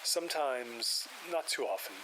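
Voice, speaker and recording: A man playing an aging, calm and methodical parapsychologist in an audio drama, explaining things in a measured way. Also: mid-range voice, natural voice. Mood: explanatory. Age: older. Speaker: paranormal investigator. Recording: steady hiss and rain behind.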